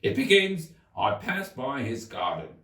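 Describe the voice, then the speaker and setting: A brusque gryphon creature as a man acts it out gives orders in a lively, swinging voice. It is impatient and commanding storybook fare, heard in an echoey room. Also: mid-range voice, a little slow, voice pushed up. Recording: echoey room, distant mic.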